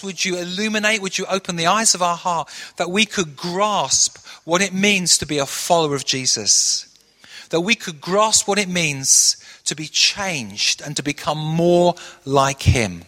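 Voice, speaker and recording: A middle-aged man from Manchester preaching a sermon as pastor, telling stories earnestly and dropping into a hushed prayer. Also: high voice. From Manchester, England. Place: church hall. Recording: long echoey hall reverb.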